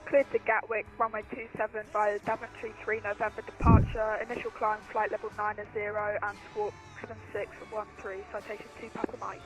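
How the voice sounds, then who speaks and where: A virtual pilot on the radio, calling ground control and reading back a clearance in formal aviation phraseology. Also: medium pitch, a little fast, few pauses, music in the background, low-quality recording. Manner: flat, formal readbacks.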